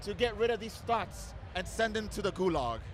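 A man in a mock-preacher persona, speaking with solemn, deadpan sermon delivery. He is losing his voice, and he is at a crowded expo hall. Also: high voice, normal speed, noisy background. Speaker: costumed guest.

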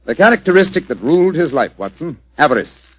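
An actor playing a shrewd, urbane British gentleman detective in 1940s radio drama style, with precise theatrical phrasing. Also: a little high, big pitch swings, quick pace, husky, no echo. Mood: brisk, explanatory. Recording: dull lo-fi vintage radio recording.

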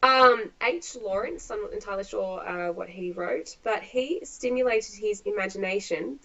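A woman's voice: mid-range voice, moderate pace, a bit bright. Chatty woman host on muffled audio. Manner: chatty, laughs often, uses slang. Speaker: podcast host, author. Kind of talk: casual podcast interview.

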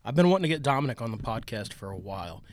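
A man's voice: mid-range voice, big pitch swings, racing, slight background noise. A man with an American accent hosting a podcast, introducing and interviewing in a conversational style. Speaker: podcast host.

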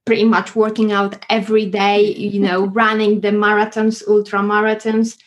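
A woman's voice: medium pitch, monotone, moderate pace. A woman from Poland with a Polish accent, introducing herself as a guest in a casual interview, with many fillers and restarts. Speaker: guest, property investor.

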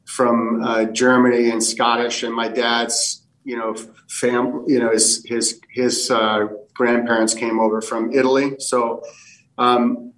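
A middle-aged American man answers interview questions at a relaxed, wandering pace, stacking fillers and restarting his sentences. His voice sits at an ordinary pitch for a man. He sounds a little set back from the microphone. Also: slow, distant mic, rough recording. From United States. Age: 50s. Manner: rambling with many fillers and restarts. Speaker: soccer technical director.

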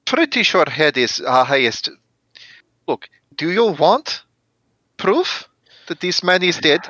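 A man's voice: on the high side, big pitch swings, takes it slowly, sharp tone, no echo. A man playing a tabletop game, speaking in an exasperated, decisive way. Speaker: player. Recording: dull lo-fi mic.

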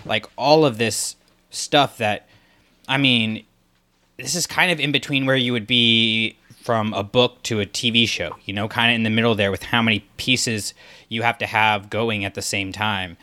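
A man co-hosting a podcast, talking casually with quick, chatty delivery and frequent fillers. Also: lowish voice, fairly even intonation, bright, forceful tone. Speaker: podcast co-host.

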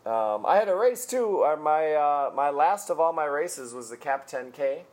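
Podcast co-host, relaxed, laid-back, laughing storyteller, mid pitch. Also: moderate pace. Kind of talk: casual podcast chat over a call.

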